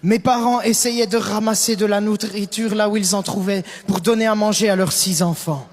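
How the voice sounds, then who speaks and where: A man's voice: high voice, steady tone, moderate pace. A man interpreting a sermon phrase by phrase, mirroring the preacher with emphatic delivery. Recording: large reverberant hall sound.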